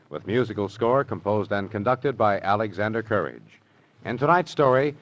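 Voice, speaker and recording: A man announcing in the formal scripted style of classic network radio. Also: medium pitch. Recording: muffled old radio recording, faint music.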